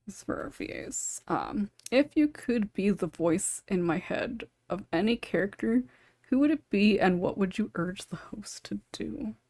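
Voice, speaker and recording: A woman's voice: mid-range voice, expressive, a little slow, darkish tone, dry recording. A woman with a chatty, giggly, informal manner, hosting a casual panel discussion. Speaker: podcast host and writer.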